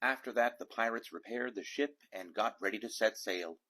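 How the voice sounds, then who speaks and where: A man reading a children's storybook aloud in an expressive narrating style. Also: fairly low pitch, normal speed. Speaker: storybook reader.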